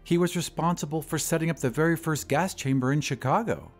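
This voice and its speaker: Casting: male actor as shy, admiring woman, raised animated voice, audio drama over music. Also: fairly high pitch, fairly quick, voice pushed up. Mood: chatty. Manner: shy, demure. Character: demure, shy, admiring woman who works in euthanasia.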